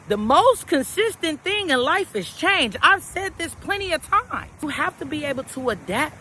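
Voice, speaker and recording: A loud, animated woman talks straight to her viewers in a slangy, swearing motivational vlog. Her voice sits high and bright. Faint traffic noise comes from outdoors behind her. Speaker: vlogger. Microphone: camera without microphone.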